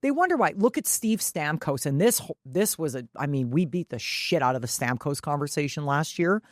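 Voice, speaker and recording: A woman's voice: low voice, lively intonation, brisk, no echo. Blunt, salty woman talking sports, dry sound. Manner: blunt, salty, heavily swearing, indignant. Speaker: podcast co-host. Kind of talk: casual, opinionated sports talk.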